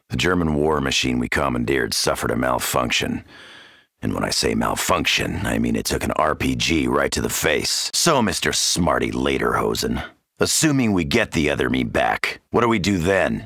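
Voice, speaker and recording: A tough American marine from a video game, with a heavily gravelled, forceful voice. He is brash and wisecracking, swinging widely in pitch as he delivers cocky, foul-mouthed battle lines. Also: dry recording, clean studio sound, heavily acted. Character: tough cocky American soldier, gung-ho zombie-slaying marine. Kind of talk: video game character barks.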